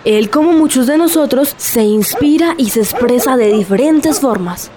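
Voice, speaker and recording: High-pitched young Colombian graffiti girl acted by a woman. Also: animated, normal speed, a crowd in the background. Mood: conciliatory. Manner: colloquial, persuasive. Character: streetwise young female graffiti artist, conciliatory, proud. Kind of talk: scripted radio sketch acting. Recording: noisy outdoor ambience.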